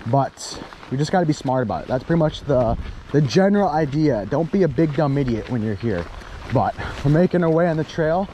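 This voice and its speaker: A man's voice: a little high, animated, fairly quick, mellow, slight background noise, poor audio. A man in his thirties hosting a fishing vlog outdoors, talking to a handheld camera at arm's length in an upbeat, casual way. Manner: upbeat, addresses viewers as guys. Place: outdoor parking lot by a river trail.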